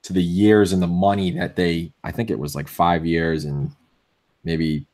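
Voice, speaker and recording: A man with a Boston accent co-hosting a casual sports podcast, opinionated and full of restarts, with a voice going hoarse. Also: low-pitched, flat delivery, unhurried, darkish tone. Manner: opinionated, many restarts and fillers. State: losing his voice. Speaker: podcast co-host.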